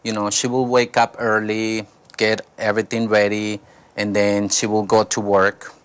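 A man, a pastor, telling his life story in a studio interview, halting and searching for words. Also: fairly low pitch, level tone. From Colombia. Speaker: pastor, guest. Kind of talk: personal testimony interview.

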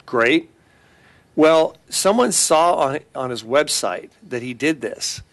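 A man's voice: a little high, expressive, slow, fairly bright tone. Earnest Californian man on a talk show. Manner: earnest, repeats web address, many restarts. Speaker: attorney and ministry leader on a talk show. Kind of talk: casual talk-show promotion and storytelling.

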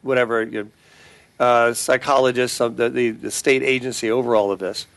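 A man's voice: mid-range voice, little pitch movement, normal speed, creaky. Earnest Californian man on a talk show. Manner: earnest, repeats web address, many restarts. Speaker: attorney and ministry leader on a talk show. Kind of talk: casual talk-show promotion and storytelling.

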